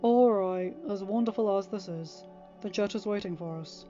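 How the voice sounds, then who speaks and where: A woman acts out an affectionate fatherly man in an audio story. He talks casually, reassuring and gently teasing. The sound is a little muffled, with music behind. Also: medium pitch, moderate pace, natural voice.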